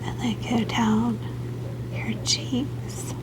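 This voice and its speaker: A woman in a whispered ASMR roleplay as a gentle, reassuring doctor, with a soft, breathy delivery. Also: a little high, level tone, very slow, poor audio. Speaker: ASMR performer playing a doctor.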